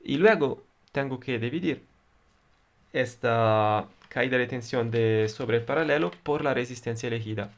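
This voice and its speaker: A man with an Italian accent, a native Italian speaker, explaining electronics in a deliberate, halting way with frequent fillers. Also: mid-range voice. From Italy. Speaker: electronics tutor. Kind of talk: explaining circuits at a whiteboard.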